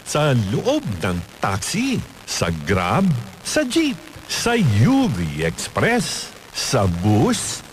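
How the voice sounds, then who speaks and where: A man's voice: medium pitch, slight background noise. A man reading playful, conversational scripted promos as a radio station announcer. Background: music bed.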